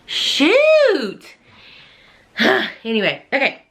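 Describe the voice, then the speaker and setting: Bright-voiced chatty Texan woman. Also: high-pitched, huge pitch swings. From Texas. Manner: chatty, quick, addresses viewers as y'all. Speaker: book vlogger. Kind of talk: casual chat to camera.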